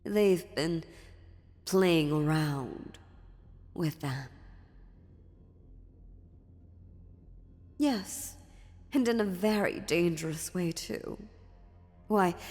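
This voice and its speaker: A woman's voice: on the low side, darkish tone. A woman playing an ancient, dominant demon in a fantasy audio roleplay, mocking and menacing, with a condescending, theatrical delivery. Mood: veiled threat. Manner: slow, condescending, sardonic, theatrical. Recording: long reverberant hall, music underneath.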